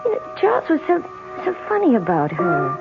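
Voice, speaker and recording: An actress playing an excitable, uneasy young American bride in a 1970s radio drama, breathless and hesitant. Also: female voice, fairly high pitch, fairly quick, gravelly, music in the background, full character voice. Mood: curious, sympathetic. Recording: tinny, hissy old radio broadcast.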